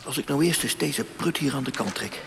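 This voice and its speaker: An actor playing a weary, sarcastic sewage worker who grumbles and mutters to himself in a radio drama. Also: male voice, medium pitch, animated, brisk, over music. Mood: disgusted muttering. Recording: long cavernous reverb, clear background noise.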